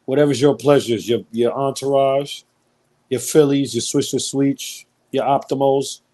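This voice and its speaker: A man at a middle pitch hosts a live-stream talk show, chatting loosely in slang and calling out viewers by name. He shifts into long, earnest stretches where he preaches his point to the audience. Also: fairly even intonation, unhurried. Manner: slangy and conversational, reads out viewer shout-outs; speaks earnestly and preachily at length. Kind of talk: casual live-stream chat.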